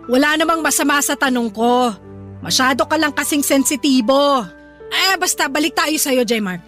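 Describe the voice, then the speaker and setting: Haughty middle-aged Filipino mother, very high piercing voice, nagging, sarcastic, radio drama, faint music. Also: moderate pace, fairly bright tone. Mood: prying, scornful. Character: haughty, scornful middle-aged mother belittling daughter's suitor.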